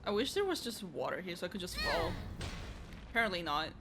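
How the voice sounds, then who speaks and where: A woman's voice: fairly low pitch, huge pitch swings, fairly quick, vocal fry, noisy background. A woman streamer giving offhand, slangy reactions as casual commentary on a game playthrough. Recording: game music behind the voice.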